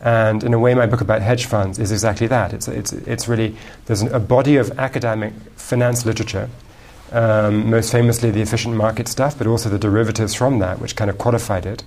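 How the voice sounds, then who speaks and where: An English man with a faint American tinge to his accent, speaking in a fairly low voice. He answers an interviewer at length, fluent and thoughtful, with frequent ums and restarts as he gathers his thoughts. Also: fairly even intonation, fairly quick, a little mellow. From England. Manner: fluent but with frequent ums and repeated words. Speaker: guest author.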